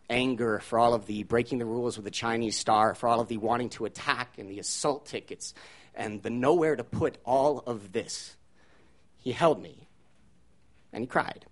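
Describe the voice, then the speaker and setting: A man telling a personal story live on stage, shifting between loud, charged lines and hushed, poetic ones. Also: medium pitch. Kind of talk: live spoken-word storytelling.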